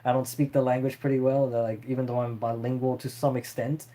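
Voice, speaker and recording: A man's voice: mid-range voice, little pitch movement, brisk, mellow. A man chatting casually and unscripted as a streamer answering viewer questions, in a rambling, hesitant way.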